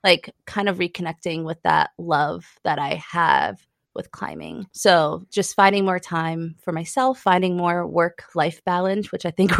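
A woman's voice: on the low side, creaky, no echo, clean studio sound. A young adult woman, a climbing guide, chatting casually in an interview, often laughing and saying "like".

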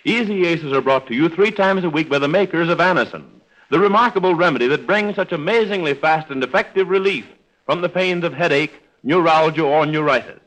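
Higher-pitched vintage American radio announcer, a man. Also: brisk, fairly bright tone, poor audio. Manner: polished, formal. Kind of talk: 1930s scripted radio narration and ad read. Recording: vintage 1930s radio recording.

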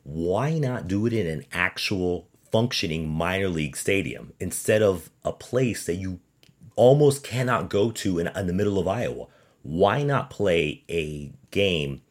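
A man with a deep voice hosts a sports podcast on his own. He speaks emphatically and with sarcasm, pushing his opinions through pointed rhetorical questions. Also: lively intonation, a little slow. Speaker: podcast host.

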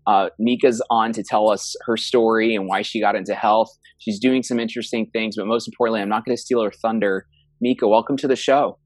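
A male podcast host with a lower voice that sits close and dry on the mic. He talks with eager enthusiasm and often repeats his words as he leads the interview. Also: steady tone, fairly quick, no echo.

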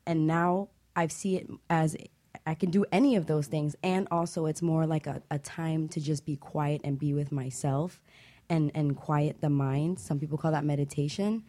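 Low, bright-voiced woman hosting a talk. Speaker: talk show host. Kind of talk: casual panel discussion.

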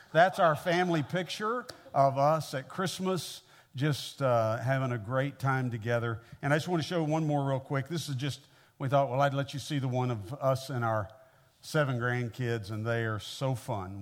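An American man in his sixties speaks as a visiting pastor addressing a congregation. His voice sits at an average male pitch. He preaches conversationally, with a folksy, personal storytelling manner. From Midwestern United States (Missouri). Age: 60s.

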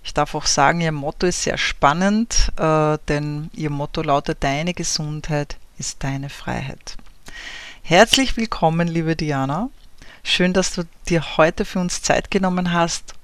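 A woman's voice: sits low, measured pace, dry recording. A woman hosting an interview who gives a friendly welcome and backs her guest with frequent murmurs of agreement. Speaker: interview host.

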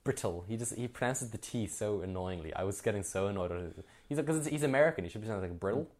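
A young Irish man co-hosting a podcast, chatting quickly and casually, laughing often. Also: lowish voice, animated, a little mellow. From Ireland. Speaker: podcast co-host. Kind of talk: casual gaming chat.

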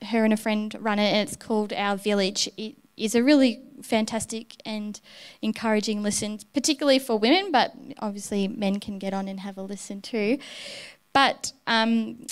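A woman's voice: medium pitch, steady tone, moderate pace, clear, resonant tone. A woman preaching a sermon in church, telling a personal story in a candid, heartfelt way.

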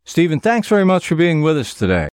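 A man's voice: a little high, big pitch swings, fast talker, a little mellow, clean tone, no room sound, clean studio sound. A man hosting an author interview show, with polished questions and presenting.

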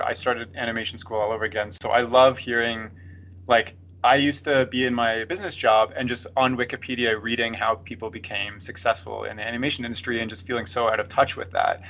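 A man hosts a conversational interview, asking long, loose questions in a casual tone. His pitch is average. The sound is muffled and lo-fi. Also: fast talker. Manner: relaxed, uses "like" a lot, rambling questions.

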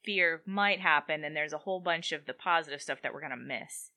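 A woman's voice: sits low, fairly quick, sharp tone. A woman hosting a podcast, asking long, engaged questions in casual talk. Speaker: podcast host.

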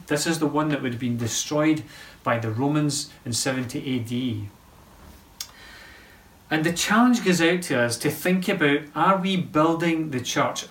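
A man's voice: a little high, fairly bright tone. Male pastor, earnest sermon delivery, distant mic in an empty church hall. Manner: earnest preaching with emphatic rises. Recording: roomy echo, voice from far off.

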